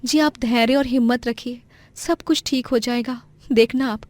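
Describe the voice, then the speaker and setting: A woman's voice: fairly high pitch, steady tone, normal speed, darkish tone, no room sound, clean studio sound, natural voice. A woman playing a supportive, reassuring wife and mother, encouraging and respectful, in a moral story.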